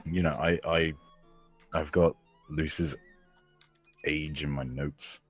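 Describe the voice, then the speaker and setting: A sixteen-year-old male with a deep, husky voice, chatting casually as a podcast host. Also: little pitch movement, takes it slowly, faint music behind. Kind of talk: casual group chat.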